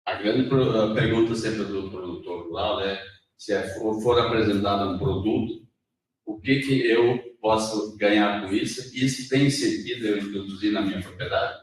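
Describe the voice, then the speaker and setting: A man's voice: on the low side, moderate pace. A man interpreting a lecture into Portuguese line by line, rephrasing and restarting as he goes. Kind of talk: consecutive interpreting. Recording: echoey hall, voice from far off.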